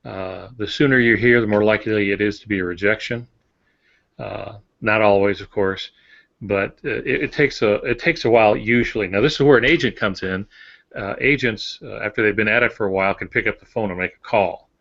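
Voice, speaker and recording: Male author-host, somewhat low pitch, measured and matter-of-fact advice, conversational. Lo-fi, muffled recording. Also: creaky, a bit bright. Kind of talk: explanatory casual talk. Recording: dull lo-fi audio.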